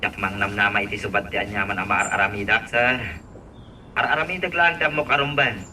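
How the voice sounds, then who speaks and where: A sharp-voiced, respectful helper. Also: male voice, lowish voice, steady tone, a little fast. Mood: earnest, modest. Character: respectful, humble, helpful male subordinate. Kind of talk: Ilocano radio drama acting. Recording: distant pickup with faint ambient noise.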